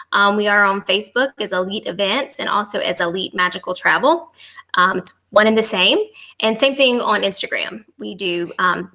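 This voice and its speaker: A woman with a bright, forward voice of middling pitch answers as an interview guest. She is informal and agreeable, explaining and promoting travel services in a conversational way. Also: creaky. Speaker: podcast guest, travel agency executive.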